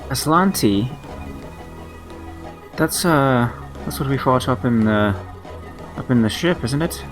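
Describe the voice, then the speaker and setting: Male player talking in character, mid-pitched and halting, light music under it. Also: animated. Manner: halting, casual. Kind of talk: in-character talk at game table.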